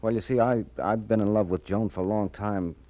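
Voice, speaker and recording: Man acting a low-voiced, guilt-ridden confessor. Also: fairly even intonation, dark tone, dry recording, highs cut off, close to an everyday voice. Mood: remorseful. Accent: American. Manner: halting, pleading, agitated. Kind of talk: late-1940s radio crime drama acting.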